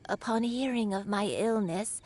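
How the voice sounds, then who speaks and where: An actress voicing a frail elderly woman who speaks slowly and haltingly as she recalls a lost past, in a video game. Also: mid-range voice, darkish tone, slight background noise, lightly acted. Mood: wistful. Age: older. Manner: slow, hesitant, halting.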